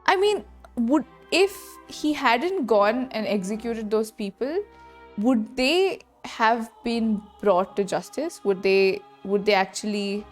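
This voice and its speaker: A woman giving casual live commentary on a game playthrough, halting as she thinks aloud. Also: fairly high pitch. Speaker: streamer reacting to a game. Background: game music.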